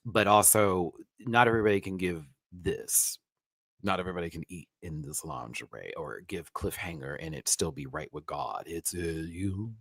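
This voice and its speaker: Male host for a reality-show review vlog. Low-set voice, sassy and animated, recorded close and dry. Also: no room sound. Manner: animated and sassy, with big pitch jumps and laughs. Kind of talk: live review commentary.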